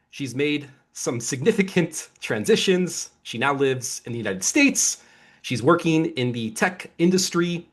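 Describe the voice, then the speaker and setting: Expressive, husky male podcast host. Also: mid-range voice. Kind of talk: upbeat podcast interview.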